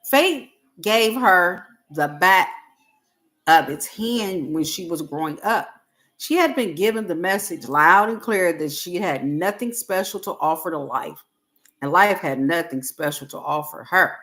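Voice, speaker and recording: A woman reading a self-help book aloud on a livestream, in deliberate, halting phrases with pauses. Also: lowish voice, lively intonation, a bit bright.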